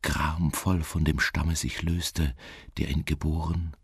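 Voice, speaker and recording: Give the part to a lonely, dreaming wanderer in a gothic radio play. His voice is extremely low and gravelly, with a husky, hushed grain. He narrates slowly and deliberately, brooding over each phrase in a close, dry recording. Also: flat delivery, dry recording, full character voice. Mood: somber, brooding. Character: solitary dreamy male wanderer recounting a melancholy gothic tale. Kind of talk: slow literary radio-drama narration.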